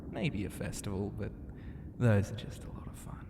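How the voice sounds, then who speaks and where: A man voicing a flirtatious, regal sea dragon ocean god in an ASMR roleplay, teasing and grandly courteous, often dropping into a breathy whisper. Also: on the low side, moderate pace, lightly acted. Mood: smug, possessive. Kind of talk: ASMR roleplay addressed to the listener. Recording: long cavernous reverb, clear ambient noise.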